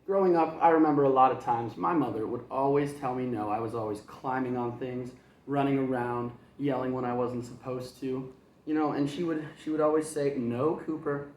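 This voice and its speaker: A man's voice: mid-range voice, brisk, darkish tone. A man, a kindergarten teacher, reading a picture book aloud to children with chatty, rambling asides and chuckles. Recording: echoey room.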